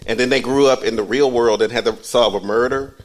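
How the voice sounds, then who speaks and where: A man's voice: mid-range voice, fairly even intonation, a little fast, rough recording. A man hosting a casual talk show from a couch in a friend's house, campy and playful, swearing freely. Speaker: podcast host. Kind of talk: casual chat.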